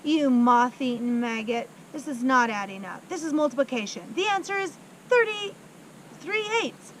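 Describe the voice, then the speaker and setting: Woman doing a huge bully headmistress, roaring insults loud and slow, pitched a bit up. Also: animated, a little hiss or hum, low-quality recording. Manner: bellowing insults, slow and heavy.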